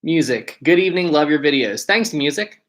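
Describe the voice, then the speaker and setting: A man's voice: on the high side, expressive, moderate pace, fairly bright tone. A man with a West Virginia accent hosting a casual livestream, chatting informally and answering viewer comments. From West Virginia, United States. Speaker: livestream host.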